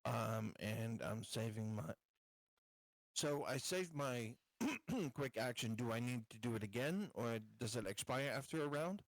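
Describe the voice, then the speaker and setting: A man's voice: medium pitch, expressive, measured pace, dry recording. A man playing a tabletop roleplaying game, chatting casually in a laid-back, joking way.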